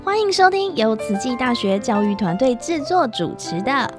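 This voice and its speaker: A woman's voice: fairly high pitch, big pitch swings, normal speed. A woman reading a scripted introduction to a radio programme over music.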